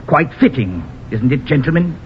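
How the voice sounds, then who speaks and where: Muffled old-radio schemer, formal and smooth. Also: male voice, fairly high pitch, big pitch swings. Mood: smug, menacing. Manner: formal, measured, theatrical. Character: smooth scheming villager leader, secretly a traitor. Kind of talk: old-time radio drama acting. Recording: muffled old radio recording, faint noise.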